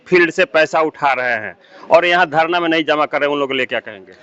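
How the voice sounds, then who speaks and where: A man's voice: fairly high pitch, fast talker, sharp tone, clear, resonant tone. A man working as a news interviewer, putting questions to people in a street interview on a dull recording. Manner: frequent short affirming interjections while listening. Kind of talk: street interview at a protest sit-in. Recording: dull, lo-fi audio.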